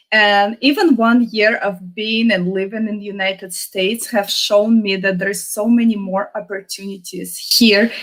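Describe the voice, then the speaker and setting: Chatty woman from Russia, Russian-native. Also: mid-range voice. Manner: chatty, upbeat, with frequent "you know" fillers. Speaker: interview guest. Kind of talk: casual interview.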